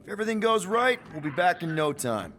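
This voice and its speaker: An actor playing an earnest, loyal, wisecracking young space-crew leader in a video game, talking casually. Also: male voice, sits high, big pitch swings, fast, a little hiss or hum. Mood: encouraging. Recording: reverberant, loud music behind.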